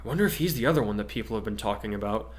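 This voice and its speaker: A teenage boy with a raven's head speaks in a low, measured tone, as acted out by a male narrator. He is a quiet, composed character in a fan story. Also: expressive, lightly acted. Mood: low, curious.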